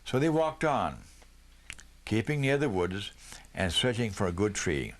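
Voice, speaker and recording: Low-voiced man reading a storybook. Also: big pitch swings, measured pace. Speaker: audiobook reader. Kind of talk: scripted storybook narration.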